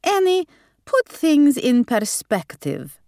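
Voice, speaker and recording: A woman's voice: high-pitched, wildly swooping pitch, takes it slowly, dark tone, no echo, clean studio sound, voice pushed up, exaggerated voice. A woman voicing an imperious, snappish old grandmother with a Hungarian accent in an audiobook. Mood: firm, urging. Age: older. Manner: snappish, opinionated.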